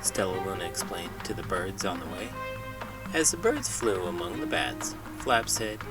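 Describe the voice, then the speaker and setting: A man reading a children's book aloud with expressive, dramatic narration. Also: low voice, moderate pace, distant mic, over loud music, rough recording. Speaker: children's book reader, teacher.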